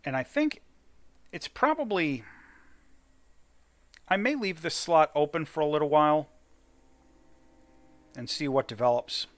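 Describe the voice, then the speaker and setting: A man's voice: a little high, lively intonation, unhurried, pauses often, no echo. A man giving casual let's play commentary, thinking aloud and deliberating as he hosts a gaming video.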